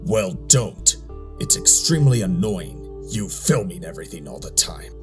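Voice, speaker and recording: Guy doing bossy robot officer, really low voice, humorless and reproachful, loud music behind. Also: lively intonation, measured pace. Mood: stern, disappointed. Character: stern, controlling male robot military strategist. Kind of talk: motion comic voice acting.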